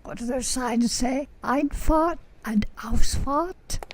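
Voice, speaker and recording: Pompous middle-aged shop lady, woman acting, high and slow, indignant radio comedy style, very noisy recording. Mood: curious. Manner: pompous, indignant, drawn-out delivery. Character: haughty, easily scandalised middle-aged saleswoman.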